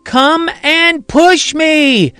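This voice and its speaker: A man voicing a grown man who acts like a little girl, speaking in a high, drawn-out, childish whine. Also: sharp tone, no room sound, faint music behind, full character voice. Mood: whiny demanding.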